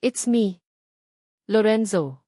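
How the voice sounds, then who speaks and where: A woman's voice: medium pitch, animated, takes it slowly, mellow, no room sound, clean studio sound. A woman with a Filipino accent voicing a resolute male hospital chairman in a story, firm and commanding. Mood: cold, determined. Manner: firm, commanding, switching into English. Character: resolute, cold-voiced male hospital chairman, secretly posing as guard.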